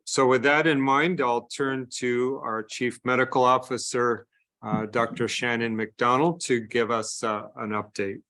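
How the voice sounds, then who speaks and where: A man's voice: mid-range voice, level tone, measured pace, sharp tone. A man moderating a call from a meeting room, hesitant, with many filler words.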